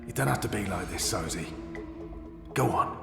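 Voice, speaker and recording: An actor playing a henchman who coaxes and threatens in a low, soft way. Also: animated, normal speed, gravelly. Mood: menacing. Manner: quietly menacing, coaxing. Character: menacing male henchman, smooth threatening thug. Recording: roomy game audio over music.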